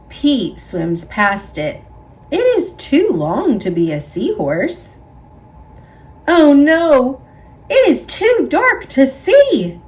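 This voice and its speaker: A woman reading a children's picture book aloud in an expressive, deliberate storytelling way for young listeners. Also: sits high. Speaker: storybook reader. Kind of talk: children's read-aloud narration.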